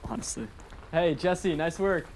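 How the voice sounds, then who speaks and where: A man playing an easygoing, level-headed high school boy in a radio drama. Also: unhurried, low-quality recording. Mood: wry, friendly. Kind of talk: scripted radio drama acting. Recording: clear rain and outdoor noise behind.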